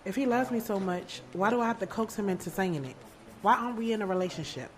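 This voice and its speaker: A woman's voice: medium pitch, fast, crowd noise, lightly acted. A woman voicing a blunt, sassy young woman. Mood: defensive. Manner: frank, defensive, sassy. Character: blunt young woman avoiding a love triangle. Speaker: narrator and lead character. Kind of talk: audio drama acting with first-person narration. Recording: music playing under the voice. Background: background music.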